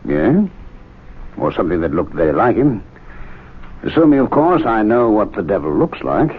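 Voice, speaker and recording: An actor playing a calm gentleman club member with a dry, wry way of talking. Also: fairly low pitch, moderate pace. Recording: tinny 1970s radio broadcast with faint noise.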